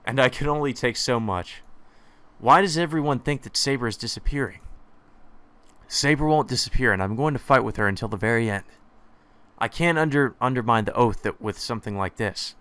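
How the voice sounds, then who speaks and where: Male let's play host, hesitant casual commentary, bright and forceful, slightly low. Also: smooth, dry recording. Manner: hesitant, self-deprecating, many fillers.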